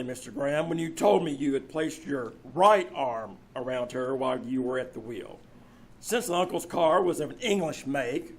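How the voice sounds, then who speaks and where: A male actor plays a confident, methodical inspector in an old-time radio whodunit. His voice is husky and a little high. He speaks in a measured, formal, polite way. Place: live on a theater stage.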